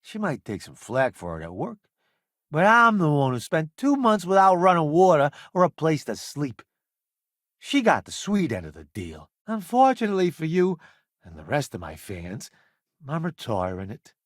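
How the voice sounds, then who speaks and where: A man's voice: fairly high pitch, wildly swooping pitch, dry recording, clean studio sound. A man playing a vain, flamboyant food critic turned ship's cook in a video game, with a wry, theatrical delivery. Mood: self-assured, wry. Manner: theatrical, wry, self-promoting, drawn-out emphatic delivery. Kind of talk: video game companion voice acting.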